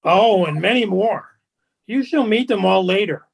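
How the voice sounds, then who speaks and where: A man's voice: high-pitched, unhurried, creaky, slightly rough, full character voice. A man playing a deferential, snobbish society go-between in a radio play, with a formal and genteel manner. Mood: genteel, condescending. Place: remote home recording over video call. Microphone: video call.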